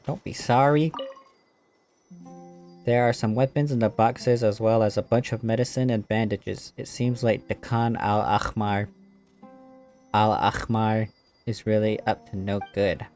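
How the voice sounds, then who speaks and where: A woman's voice: a bass voice, fairly even intonation, a little slow, long pauses, darkish tone, soft background music. A woman streaming a game, giving playful, slangy casual commentary and reading game text aloud. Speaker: gameplay streamer.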